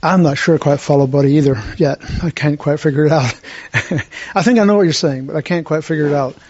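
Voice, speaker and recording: Man sharing reflections at a church meeting, hesitant and restarting, higher pitch, muffled recording. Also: a little mellow. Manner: halting, many restarts and fillers. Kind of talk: unscripted church discussion. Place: room with a group. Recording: dull lo-fi sound.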